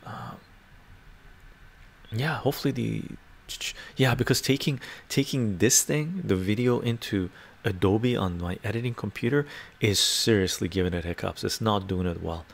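Older man, gravelly low voice, livestream chat. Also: normal speed. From Canada. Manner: chatty, answers viewer comments aloud. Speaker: livestream host reading chat. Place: home study with bookshelves. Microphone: lapel mic.

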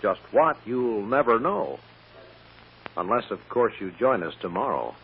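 A man's voice: lowish voice, big pitch swings, measured pace, creaky, heavily acted. A man playing a suspenseful announcer, narrating an old-time radio serial. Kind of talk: dramatic 1940s-style radio narration. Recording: dull, noisy vintage radio recording.

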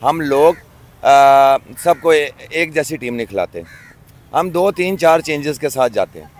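A middle-aged club cricketer from Pakistan giving his views outdoors in a casual interview, earnest and often pausing on fillers. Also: on the high side, expressive. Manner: earnest, many hesitation fillers. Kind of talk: opinionated casual talk. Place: outdoors by a park road. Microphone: handheld mic.

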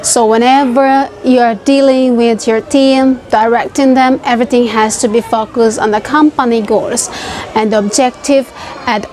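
A woman's voice: a little high, darkish tone. A young woman in her twenties, a native Indonesian speaker with an Indonesian accent, presenting herself for a video CV in a careful, rehearsed, list-like way, recorded on a lapel mic in an open-air wooden pavilion. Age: 20s. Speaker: job-seeking student. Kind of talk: recited self-presentation for a video CV.

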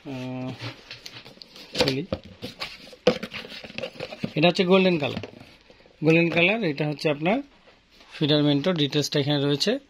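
A man's voice: a little high, measured pace, long pauses, fairly bright tone. A man with a Bangladeshi accent informally showing products as a shop seller, explaining and repeating himself, recorded on a phone in a small shop. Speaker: fishing tackle seller. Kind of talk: informal product showcase for customers. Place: small indoor fishing tackle shop. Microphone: handheld phone near the speaker. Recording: noisy phone recording with clicks.

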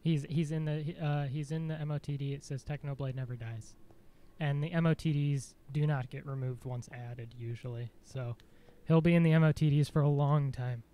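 A man streaming a game, chatting casually in a dry, monotone voice, rambling with fillers and self-corrections. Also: on the high side, moderate pace. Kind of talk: casual live-stream chat.

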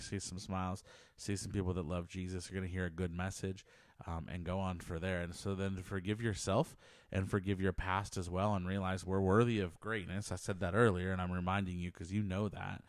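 A man with a very deep, low voice talks earnestly into a handheld mic held close to his mouth. He rambles a little, repeats himself and restarts sentences as he shares his thoughts. The sound is dry and close, with almost no room tone. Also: no room sound. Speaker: podcast host. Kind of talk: personal faith podcast monologue. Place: small home office. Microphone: handheld dynamic mic close to mouth.

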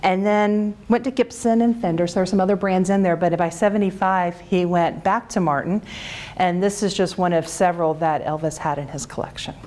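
A woman in her thirties presenting items for an auction in a measured, informative way, with occasional fillers. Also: on the low side. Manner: steady, measured, lightly hesitant with fillers. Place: conference room.

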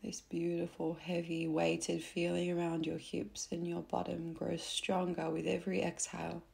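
Soft creaky-voiced woman guiding meditation. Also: fairly low pitch, monotone. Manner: gentle, unhurried, instructing softly with pauses. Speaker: meditation guide. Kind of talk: soothing guided meditation narration.

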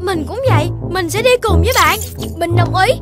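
Super high squeaky puppy kid, eager, loud kids' music behind. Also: female voice, normal speed. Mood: excited. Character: young puppy child Đốm, eager. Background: background music.

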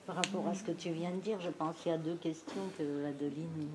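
A woman with a lowish voice moderates a bookshop talk, cutting in briefly and passing the floor to the next question. Background noise runs under her voice. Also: fairly even intonation, fairly quick. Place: bookshop event room, indoors.

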